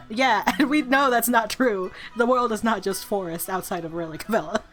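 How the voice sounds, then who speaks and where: A woman's voice: medium pitch, fairly quick, music in the background. A woman streaming a game with casual, playful commentary, reactive and prone to giggling. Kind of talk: casual let's play commentary with read-aloud narration.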